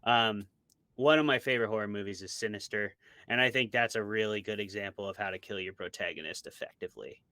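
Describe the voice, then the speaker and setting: A man with a low voice and a bright, forceful edge talks in a casual interview. He offers opinions and works through examples in a conversational way. The sound is dry and close. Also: fast talker, no echo. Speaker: interview guest, author and podcast co-host.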